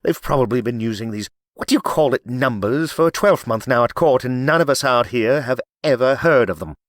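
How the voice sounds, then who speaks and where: A man playing a proud, gruff English knight in an audiobook, blustering and aggrieved with old-fashioned oaths. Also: medium pitch, animated, moderate pace, no room sound, clean studio sound, voice pushed up, lightly acted. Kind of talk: audiobook character acting.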